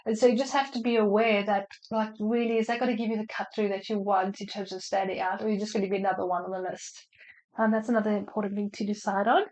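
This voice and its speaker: A woman's voice: mid-range voice, flat delivery, fast talker, a little mellow, poor audio. A woman with a flu answering marketing questions in a casual, chatty way on a video call, with many fillers and restarts. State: slightly unwell with a flu.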